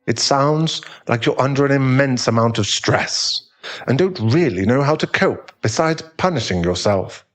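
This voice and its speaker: Casting: male actor as composed, frank therapist; formal, tactful, measured audio-roleplay delivery. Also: mid-range voice, expressive, fairly bright tone, natural voice. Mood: calm, frank. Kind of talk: dramatic audio roleplay acting.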